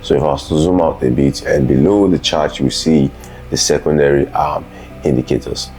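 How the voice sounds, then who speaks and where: A man's voice: a bass voice, normal speed, darkish tone, soft background music. A man giving an unscripted instructional walkthrough as a tutor, methodically explaining and repeating key points. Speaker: host, trading tutor.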